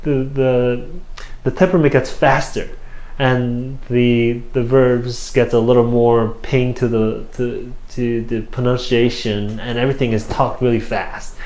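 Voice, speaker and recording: A man in his thirties from South Korea, speaking English with a Korean accent as an interview guest, halting with many fillers and restarts. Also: medium pitch, level tone, a little mellow. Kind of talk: casual interview answers. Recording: roomy echo.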